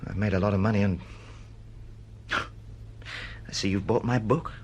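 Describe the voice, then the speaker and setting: A man's voice: lowish voice, steady tone, unhurried, a little hiss or hum, lightly acted. An actor playing an earnest, aggrieved male novelist in a radio drama, pleading and protesting insistently. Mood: earnest, defensive. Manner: earnest, pleading, swinging between protest and hesitation.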